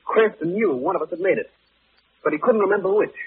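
An actor playing a frightened, dazed clubman in a 1940s radio drama, halting and melodramatic on a hissy old recording. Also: high voice, lively intonation, husky, narrow, tinny audio, heavily acted. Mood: agitated. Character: frightened, dazed clubman recalling a dangerous secret.

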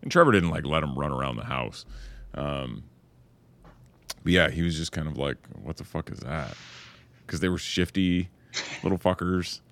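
A man hosting a podcast, chatting in a relaxed, casual way and swearing freely. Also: a bass voice, normal speed, vocal fry, long pauses. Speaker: podcast host. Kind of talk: casual conversation.

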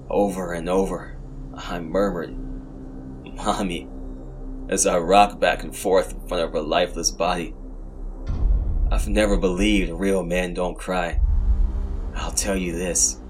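A man narrating first-person horror fiction for a podcast in a deliberate, brooding, dramatic way with short phrases. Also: sits low.

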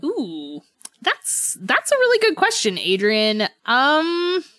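A woman co-hosting a casual book discussion podcast, thinking aloud in a halting, conversational way. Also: sits high, huge pitch swings, fairly bright tone, dry recording, studio quality. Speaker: podcast co-host.

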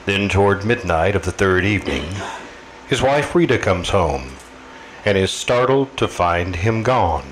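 An actor playing the all-knowing storyteller of an old-time radio mystery, narrating a crime tale. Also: male voice, sits low, lively intonation, measured pace, a little hiss or hum, rough recording. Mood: ominous, narrating. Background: music.

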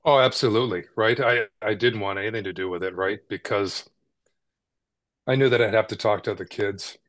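A man's voice: on the low side, fairly even intonation, fairly quick, a bit bright, no room sound. A man from Canada, a podcast guest, telling his story in a casual, conversational way with frequent "right?" tags and small repeats.

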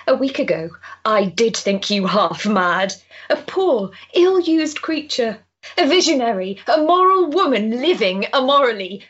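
A woman playing a forthright, compassionate gentlewoman in a period drama, speaking in a direct, firm manner. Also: fairly high pitch, lo-fi sound. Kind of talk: period audio drama acting.

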